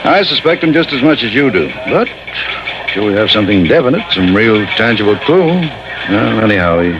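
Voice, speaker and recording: An actor playing a gruff, frustrated American police sergeant in an old radio drama, blunt and colloquial. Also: male voice, on the low side, sing-song, fairly quick. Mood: resigned, helpful. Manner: blunt, colloquial, punchy fragments. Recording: muffled, noisy old radio recording.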